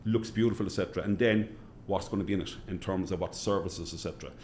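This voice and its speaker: A middle-aged man with a Cork Irish accent, answering interview questions as a hospital chief executive in a measured way, repeating phrases for emphasis. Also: deep voice, steady tone, a little mellow, slight background noise. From Cork, Ireland.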